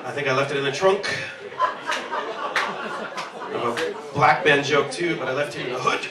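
A man's voice: mid-range voice, normal speed, over a noisy crowd. A man in his thirties doing a rambling, profane, self-deprecating stand-up set on a handheld mic in a small pub basement. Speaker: stand-up comedian. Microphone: handheld stage mic, filmed from the room. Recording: roomy club sound from far off.

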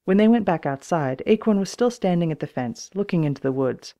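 A woman's voice: lowish voice, lively intonation, brisk, dark tone. A woman reading scripted children's storybook narration as an audiobook. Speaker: audiobook reader. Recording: dull, lo-fi recording.

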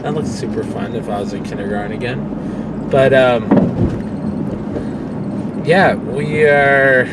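Young male vlogger, casual and frank, records himself in a moving car with steady road hum. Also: mid-range voice, slow, pauses often. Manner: rambling, candid, swears freely. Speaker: vlogger and pro wrestler. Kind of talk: casual talk to camera while driving. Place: inside a car, driving. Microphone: phone camera on dashboard. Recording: car interior with road noise. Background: road and engine noise.

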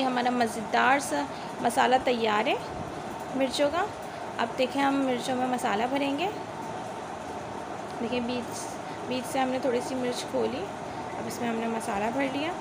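A woman hosting a home cooking video, chatty and homely, talking viewers through each step in a home kitchen. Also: fairly high pitch. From Pakistan. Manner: homely and chatty, keeps saying "see" to viewers. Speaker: home cooking channel host. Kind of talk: step-by-step recipe voice-over. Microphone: phone or camera filming a countertop from above. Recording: noisy home phone recording.

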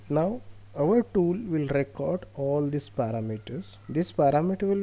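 A man with an Indian accent narrating a slide presentation as a researcher, explaining in slightly halting phrases. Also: mid-range voice, dark tone.

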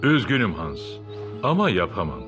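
A gruff old mountain grandfather from a cartoon. He talks curtly, with a troubled temper, in very lively swings of pitch. Music runs strongly under the voice. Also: normal speed. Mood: gruff, defiant. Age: older. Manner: gruff, curt. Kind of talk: animated series dub.

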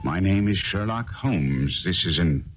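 Self-assured gentleman detective, very low voice, lively intonation, precise, 1970s radio drama. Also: a little slow. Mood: courteous, reassuring. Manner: urbane, precise, dryly teasing. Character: brisk, courteous, self-assured male detective. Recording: faintly noisy 1970s radio broadcast.

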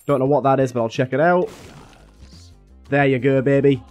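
A young man with a slightly raspy voice from being a little ill, chatting casually and thinking aloud as he plays a game. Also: mid-range voice, fairly even intonation, normal speed, darkish tone, faint music behind. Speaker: gaming YouTuber. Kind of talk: casual gameplay commentary.

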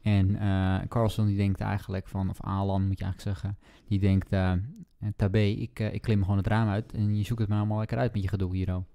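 A fast-talking man chats casually as co-host of a book podcast. His voice is mellow, with a good deal of creak in it. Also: on the low side, flat delivery.

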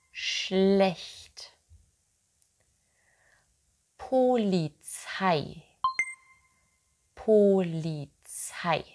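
A woman in her thirties teaching German from home, saying each word twice slowly and clearly into a webcam. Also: mid-range voice, darkish tone. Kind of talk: slow, deliberate pronunciation drill. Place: home room, seated in armchair. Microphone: webcam, head-and-shoulders framing.

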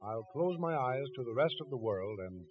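A man's voice: medium pitch, expressive, normal speed. A man announcing a dance band in 1920s radio style, with muffled vintage sound.

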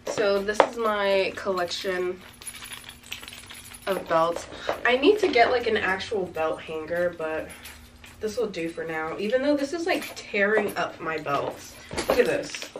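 Casting note: twenties woman, rambling fashion-vlog chatter, slight congestion rasp, recorded by a camera mic a few steps back with faint hiss. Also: medium pitch, expressive, measured pace, distant mic. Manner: chatty, rambling, upbeat, frequent "like" fillers. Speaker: fashion vlogger. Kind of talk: casual try-on chat. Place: small bedroom with backdrop, indoors. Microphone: camera mic from a few meters away.

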